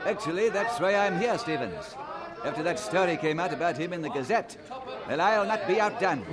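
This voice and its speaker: An actor playing an eager, pushy and slightly sardonic newspaper reporter of colonial times in an audio drama. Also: male voice, high voice, lively intonation, brisk, a loud crowd.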